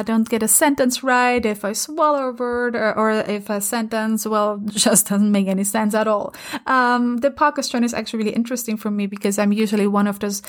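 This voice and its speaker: A woman in her thirties from Austria, a native German speaker with an Austrian German accent, hosting her own podcast in an earnest, encouraging way with many fillers. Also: mid-range voice. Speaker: podcast host and coach. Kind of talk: casual solo podcast talk. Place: home in London.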